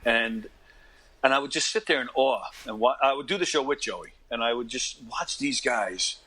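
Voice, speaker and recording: An American man in his fifties, an interview guest, talking casually in a rambling, self-deprecating way and often clearing his throat. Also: mid-range voice, normal speed, sharp tone, low-quality recording. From Long Island, New York, United States. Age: 50s. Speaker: interview guest, actor and comedy performer. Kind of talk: casual conversational storytelling.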